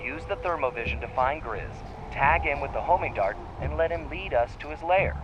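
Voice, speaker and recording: A man voicing a cool young master thief in an animated game, breezy and sarcastic. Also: a little high, fairly quick, lo-fi sound, noisy background, close to an everyday voice. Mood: teasing, easygoing. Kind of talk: animated video game voice acting.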